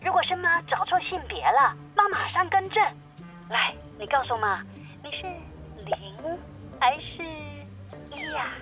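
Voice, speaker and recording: A woman's voice: extremely high pitch, sing-song, a little slow, over music, exaggerated voice. A woman playing a nagging, melodramatic middle-aged mother in a comedy radio drama, heard through a telephone filter. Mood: eager, coaxing. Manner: wheedling, dramatic, chatty. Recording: tinny band-limited phone-call sound.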